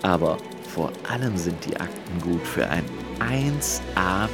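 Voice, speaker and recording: Male radio researcher-host, low voice, dry and conversational, clear music bed. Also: animated, a little slow. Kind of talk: casual, conversational documentary narration.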